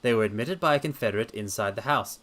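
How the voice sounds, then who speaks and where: A man voicing a confident, methodical detective in a dramatic reading, with a crisp, politely assured way of talking. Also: mid-range voice, fast talker, fairly bright tone, natural voice.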